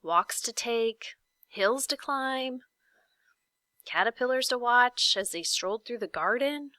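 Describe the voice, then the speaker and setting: A woman reads a children's story aloud like a teacher to a class, with expressive, deliberate phrasing and dramatic pauses. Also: on the high side, no echo, clean studio sound.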